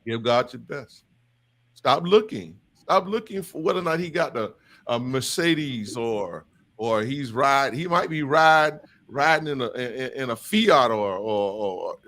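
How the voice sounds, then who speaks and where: Impassioned, emphatic male host. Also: fairly high pitch. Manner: emphatic, repetitive, animated. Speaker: podcast host leading a men's discussion. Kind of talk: impassioned preaching-style talk.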